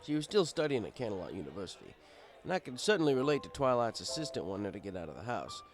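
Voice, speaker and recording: A man's voice: lowish voice, very animated, fast, faint music behind. A man playing an easygoing, fatherly pony bartender who tells his story in a wry, conversational way. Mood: reflective. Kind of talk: first-person audiobook narration in character.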